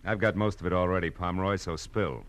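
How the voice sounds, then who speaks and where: An actor playing a cool, sardonic private eye in 1940s radio drama style, with clipped, wry, tough talk. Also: male voice, low voice, fairly even intonation, fast, natural voice. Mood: pressing, accusing. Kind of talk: 1940s radio detective acting, first-person narration.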